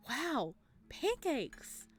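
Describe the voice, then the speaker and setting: A woman reads as an awkward, polite traveler in a visual novel, hesitant yet easily delighted. The voice is on the high side. Music sits underneath. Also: wildly swooping pitch, extremely slow, heavily acted.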